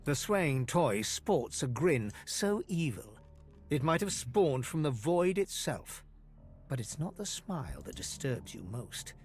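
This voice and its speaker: A man performing as an ominous storyteller, narrating a dark fantasy game in a measured, theatrical way over music. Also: fairly high pitch, very animated. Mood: intrigued, ominous. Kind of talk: dramatic scripted second-person narration.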